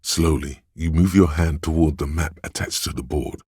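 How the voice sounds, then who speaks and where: A man voicing the brooding inner voice that narrates a detective video game, deliberate, weighty and intimate in delivery. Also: fairly even intonation, mellow, dry recording, clean studio sound. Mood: measured, wry. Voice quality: very deep, gravelly, husky. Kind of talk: dramatic second-person game narration.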